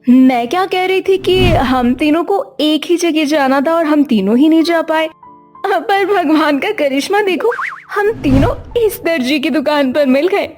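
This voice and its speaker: A chatty young wife in a cartoon story, who talks a lot and complains. Her voice is very high. Light music plays behind. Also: animated, measured pace, a little mellow. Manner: talkative, complaining. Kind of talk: cartoon voice acting.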